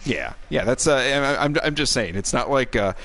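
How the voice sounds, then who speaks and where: A man's voice: medium pitch, expressive, normal speed, over music. A middle-aged man, a game streamer and co-host, chats casually while playing, in a rambling, wry, self-deprecating way.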